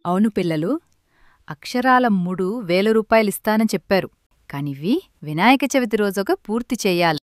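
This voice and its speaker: Woman acting a gentle village mother, close dry sound. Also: mid-range voice, animated, moderate pace, no echo, close to an everyday voice. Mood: gentle, earnest. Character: gentle, grateful poor village wife and mother. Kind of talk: Telugu moral story cartoon dialogue. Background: background music.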